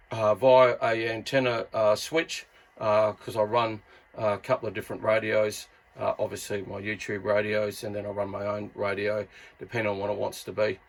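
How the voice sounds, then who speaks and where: Laid-back Australian man chatting about radio gear. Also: on the low side. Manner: laid-back, colloquial, blokey. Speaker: YouTube radio hobbyist and reviewer. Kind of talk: casual hands-on gear review with CB radio chatter.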